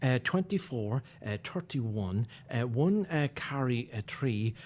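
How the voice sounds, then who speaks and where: A man's voice: lively intonation, slow, a little mellow. An actor with an Irish accent playing a timid male clerk in a radio play, stammering nervously and deferring at every turn. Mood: anxious. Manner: stammering, nervous, jumps high in pitch.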